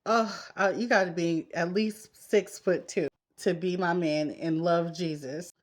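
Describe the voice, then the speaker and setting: A woman's voice: lowish voice, steady tone, unhurried. A woman in her thirties chatting casually and candidly to her viewers, as in a get-ready-with-me vlog. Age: 30s. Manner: candid, conversational, talking straight to women viewers. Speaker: vlogger. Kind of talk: casual confessional chat while getting ready.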